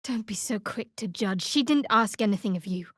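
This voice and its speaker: An actress playing a guarded, sardonic young female cleric of a dark goddess in a video game, speaking with dry, wry, clipped sarcasm. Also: medium pitch, expressive, normal speed, no echo. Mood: guarded, matter-of-fact. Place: dry studio recording.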